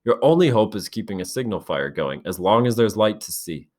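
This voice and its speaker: A man voicing an earnest boy chief who speaks loudly and insistently. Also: fairly low pitch, lively intonation, a little fast, pitched above the performer's own voice. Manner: forceful, insistent.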